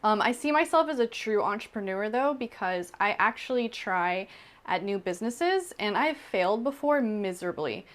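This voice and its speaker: A woman's voice: medium pitch, lively intonation, normal speed. Chatty, candid woman vlogger. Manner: chatty, frequent "um" and "like", candid and emphatic. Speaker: entrepreneur in Okinawa. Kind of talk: casual personal talk to camera.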